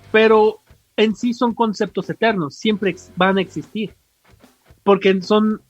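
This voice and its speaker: A man's voice: high-pitched, husky, no room sound. A Mexican man co-hosting a podcast, explaining things casually in slangy, rambling talk. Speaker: podcast co-host. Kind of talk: casual explanatory chat about comics. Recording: dull podcast audio with music.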